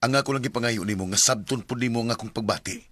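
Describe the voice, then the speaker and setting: A man acts out a devoted young groom in a radio play, earnest and firm. His voice is husky and bright, at a middle pitch. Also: racing, dry recording, lightly acted. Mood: pleading. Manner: earnest, soft-spoken in love scenes. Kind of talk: radio drama acting.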